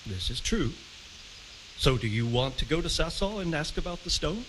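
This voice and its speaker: Troubled medieval lord, measured. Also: male voice, a little high, expressive, a bit bright, slight background noise, poor audio. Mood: considering, commanding. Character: troubled medieval lord, wary, suspicious of profiteering. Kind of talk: cinematic game dialogue.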